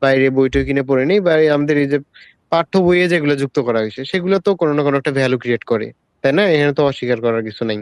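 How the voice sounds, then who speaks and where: Fast, clear-voiced man chatting. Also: medium pitch, dry recording. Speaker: discussion panelist. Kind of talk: casual live discussion.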